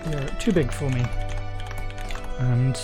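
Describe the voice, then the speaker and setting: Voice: mid-range voice, takes it slowly. Streamer musing aloud over faint music. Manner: hesitant, muttering, often trailing off; rereads drafted lines aloud. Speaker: streamer and writer. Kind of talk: thinking aloud while drafting a journaling game entry. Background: faint music and keyboard typing.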